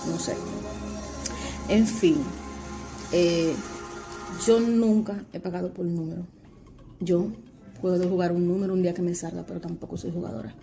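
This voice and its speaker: A woman giving a sincere, somewhat rambling testimonial as a follower of the show. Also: lowish voice. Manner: sincere, rambling, self-correcting.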